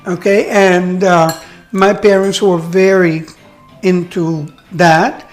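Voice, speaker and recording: A woman's voice: lowish voice, level tone, slow pace, a little mellow, soft background music. An older woman from Cuba, a teacher, chatting and reminiscing with many restarts and fillers. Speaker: guest, retired teacher. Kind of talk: casual chat.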